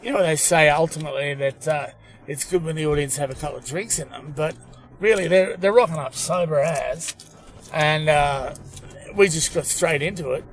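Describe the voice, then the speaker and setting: A middle-aged Australian man, a comedian chatting casually and telling anecdotes in slangy, sweary language. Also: moderate pace. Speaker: stand-up comedian. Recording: noisy in-car recording, traffic rumble.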